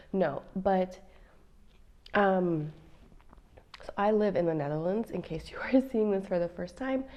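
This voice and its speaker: Chatty American mom vlogger, mellow voice. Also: female voice, medium pitch, lo-fi sound. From United States. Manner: chatty, playful, talks straight to viewers. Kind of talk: casual chat to camera.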